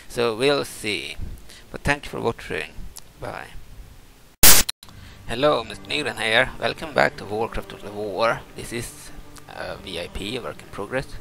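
Very gravelly, low-voiced Swedish man. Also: lively intonation, measured pace, noisy background. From Sweden, adopted from Brazil. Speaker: gaming video host. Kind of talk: casual unscripted game commentary. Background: loud game music.

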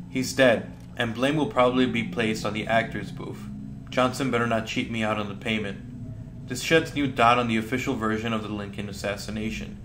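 A man's voice: mid-range voice, noisy background. A man narrating a scripted creepy story about a video game as a video host.

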